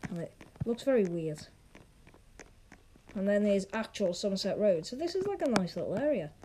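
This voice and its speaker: Casting: chatty, opinionated game vlogger, mid-pitched with lively swings, casual rambling delivery, noisy recording with clicks. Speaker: video host. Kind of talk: casual gaming vlog commentary.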